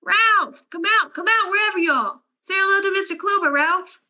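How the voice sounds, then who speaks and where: A woman's voice: very high-pitched, normal speed, pushed and bright, heavily acted. A woman playing a brazen, fragile young liar in a radio crime drama. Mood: taunting, playful. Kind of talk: old-time radio crime drama acting.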